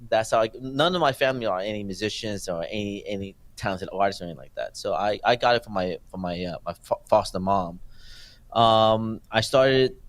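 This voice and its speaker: A man in his forties, born in Thailand and raised in New Jersey, telling his life story as a guest in a casual interview, halting with many fillers and restarts. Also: on the low side, no room sound. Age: 40s. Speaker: filmmaker guest.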